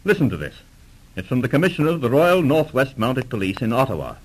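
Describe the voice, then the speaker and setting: Blustering old English lord, swooping pitch. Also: male voice, big pitch swings, fast talker, rough recording. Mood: indignant. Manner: pompous, spluttering, indignant. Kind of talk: 1940s radio drama acting.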